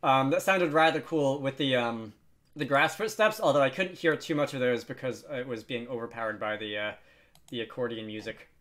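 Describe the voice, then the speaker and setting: A man hosting a casual software tutorial, thinking aloud hesitantly and adding wry, self-deprecating asides. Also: mid-range voice, normal speed. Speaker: tutorial host.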